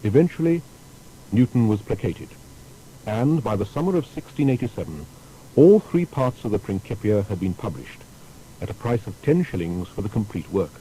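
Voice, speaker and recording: A man narrating a scripted historical documentary in a formal storytelling manner with deliberate emphasis. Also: sing-song, mellow, poor audio. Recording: faint background noise with rumble.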